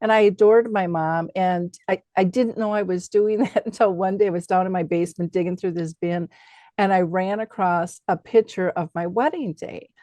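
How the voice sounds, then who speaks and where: A middle-aged woman hosting a conversation, reflecting on personal memories in an informal, animated way. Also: lowish voice, normal speed, no room sound. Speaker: talk show host.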